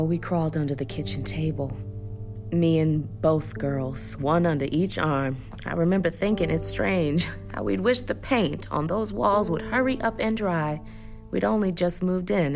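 A low-voiced, haunted mother. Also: darkish tone. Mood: haunted, reflective. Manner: intimate, reflective storytelling. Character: haunted young mother recalling terror, resigned and tender. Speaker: actress in a radio drama. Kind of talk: first-person dramatic monologue.

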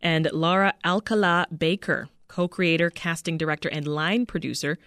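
A woman's voice: low voice, steady tone, moderate pace, a bit bright, no room sound, studio quality. A woman from Toronto hosting a radio interview. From Toronto, Canada. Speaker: radio show host. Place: dry radio studio. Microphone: close studio microphone.

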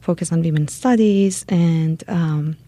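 Woman from iran, low dark husky voice, bit of fry, soft and earnest with lots of pauses. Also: no room sound. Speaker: guest, documentary platform co-founder. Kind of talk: radio interview.

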